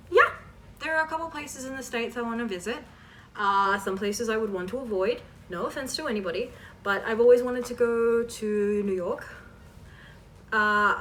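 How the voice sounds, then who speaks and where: A woman's voice: mid-range voice, a bit bright. Rambling woman, a bit distant. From Canada. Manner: rambling, self-deprecating, trailing asides. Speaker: host of a fan voice-acting channel. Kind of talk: casual question-and-answer chat. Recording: distant mic with faint background noise.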